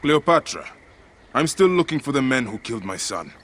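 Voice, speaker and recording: Determined Egyptian warrior, blunt, intense, bright forceful voice, animated pitch, game drama. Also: male voice, fairly quick, a little hiss or hum. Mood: resolute, frustrated. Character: grieving, determined Egyptian warrior, protective father. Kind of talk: cinematic game voice acting.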